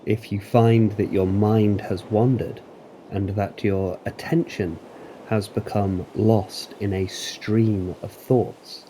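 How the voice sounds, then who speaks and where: Guy doing calm guided meditation, lowish super mellow voice, long pauses, faint rumbly waves behind him. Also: a little hiss or hum, poor audio.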